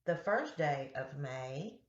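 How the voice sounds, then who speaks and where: A woman reads a children's storybook aloud at a very unhurried pace. Her voice sits a little low, and she phrases things simply for young listeners. The sound is roomy and echoey. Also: expressive, lo-fi sound. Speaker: children's storyteller reading picture books aloud.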